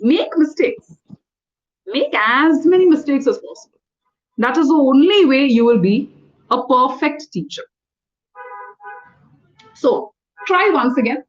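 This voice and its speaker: A high-pitched woman coaches a learner through a one-on-one lesson. She is patient and corrective and spells words out letter by letter. The sound is dull and lo-fi, like call audio. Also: expressive, measured pace, long pauses. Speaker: English trainer.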